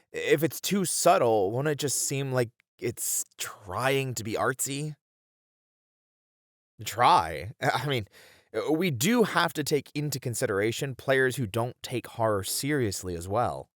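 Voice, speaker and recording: A man playing a hesitant but curious, excitable game developer, speaking casually and expressively in a scripted ASMR horror roleplay. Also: medium pitch, no echo, clean studio sound, full character voice. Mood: doubtful. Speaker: voice actor in an audio roleplay.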